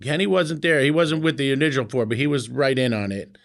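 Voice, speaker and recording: A middle-aged man with an ordinary mid-range voice, spinning wry stories about the club's early days. He leans on fillers and relaxed asides as he talks in a casual chat. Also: mid-range voice, brisk, gravelly. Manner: wry, storytelling, frequent fillers. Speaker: founding member of a charity club.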